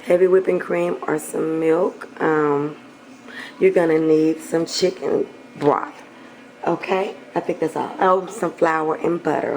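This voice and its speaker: Hoarse lady talking thru a recipe in her kitchen, kinda low and raspy, faint hiss behind. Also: a little mellow, low-quality recording. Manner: chatty, affectionate with viewers, clears throat often. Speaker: cooking channel host. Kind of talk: casual cooking vlog chat. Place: home kitchen.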